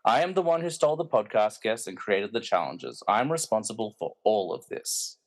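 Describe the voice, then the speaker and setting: A man's voice: lowish voice, sing-song, normal speed. An actor playing a bitter, villainous snobbish alter ego in a radio play, pompous and self-important as he rants. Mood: smug, menacing. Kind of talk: hobby podcast radio play acting.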